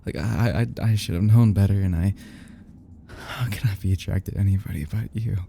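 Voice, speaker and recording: Guy doing a obsessive yandere boyfriend asmr, low creaky voice, halting and breathy, clingy and tender, bit of background noise. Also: mellow. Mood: regretful, aching. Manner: halting, stammering, breathy half-whispers, sighing. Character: obsessive lovesick young male yandere kidnapper, tender and fragile. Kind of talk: roleplay audio drama, ASMR.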